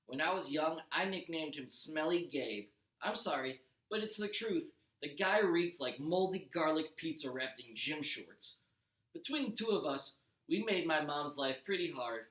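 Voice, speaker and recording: A man's voice: a little high, expressive, measured pace, a bit bright. A man reading a story aloud like a teacher to a class, expressively, with the odd stumble and self-correction. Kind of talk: animated children's audiobook read-aloud. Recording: echoey room.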